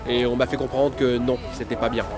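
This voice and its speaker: A man's voice: mid-range voice, level tone, fairly quick, lightly acted. A man playing a defensive, wounded ski resort activity leader in an amateur audio comedy, hesitant and evasive, music behind. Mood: bitter, hurt.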